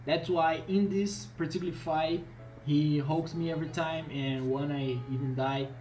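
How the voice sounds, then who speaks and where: A man's voice: fairly high pitch, fairly even intonation, moderate pace, darkish tone, low-quality recording. A man from Brazil with a Brazilian Portuguese accent gives a casual game tutorial, hesitantly rephrasing as he goes. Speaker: gaming video creator.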